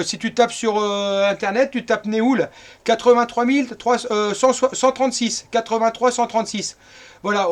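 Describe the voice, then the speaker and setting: High-pitched man chatting on radio. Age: middle-aged. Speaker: amateur radio operator. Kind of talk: casual radio chat.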